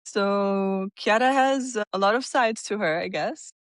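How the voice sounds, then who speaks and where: A woman's voice: medium pitch, measured pace, dry recording, clean studio sound. A young woman in her twenties from Italy and the United States, a podcast guest telling her life story in an open, reflective way. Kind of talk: conversational interview.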